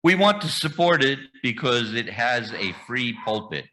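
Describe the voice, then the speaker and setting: A man leading a church membership welcome, reading the liturgy in a measured, formal way. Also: mid-range voice, sing-song, sharp tone, slight background noise, low-quality recording. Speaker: membership committee leader. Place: church sanctuary.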